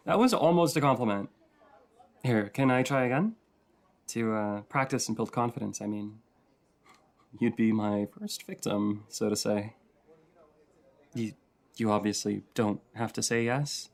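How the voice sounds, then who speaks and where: A man playing a shy, adorkable young stranger in an intimate roleplay audio, talking in a hesitant, rambling, self-conscious way. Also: lowish voice.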